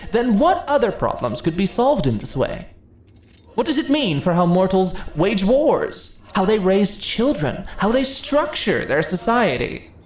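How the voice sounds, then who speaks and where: Low-voiced, imperious ancient goddess, acted by a woman. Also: darkish tone. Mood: coaxing, impassioned. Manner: theatrical, deliberate, condescending yet coaxing. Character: ancient seductive goddess, imperious, teasing, persuasive. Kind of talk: ASMR fantasy roleplay, intimate dramatic monologue. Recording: roomy echo, audible ambient noise.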